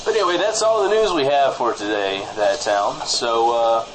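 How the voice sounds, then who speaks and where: A man's voice: mid-range voice, expressive, moderate pace, background noise, close to an everyday voice. A man playing a breezy small-town radio co-host who needles with mock-earnest sincerity in comedy banter.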